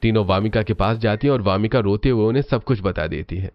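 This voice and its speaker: A man's voice: lowish voice, fast talker. A man narrating a scripted cartoon family story as the storyteller, on a slightly dull recording.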